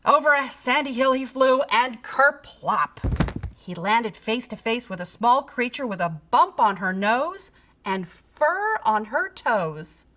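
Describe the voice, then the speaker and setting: A woman reading a children's picture book aloud, with theatrical, deliberate storytelling and dramatic emphasis. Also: a little high, sing-song, a bit bright. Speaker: storybook reader.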